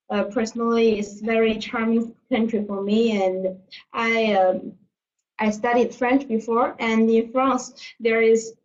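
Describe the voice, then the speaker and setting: A student woman from China talking about her choice of study, hesitant and full of fillers. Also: mid-range voice. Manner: hesitant, many fillers, laughs easily. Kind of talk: webinar testimony. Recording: distant mic, dull lo-fi sound.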